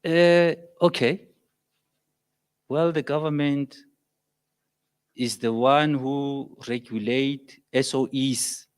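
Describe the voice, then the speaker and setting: A South African man, not a native English speaker, giving an impassioned comment at a public meeting, halting at times and rising to loud emphatic peaks. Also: mid-range voice, fairly even intonation, smooth. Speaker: audience member.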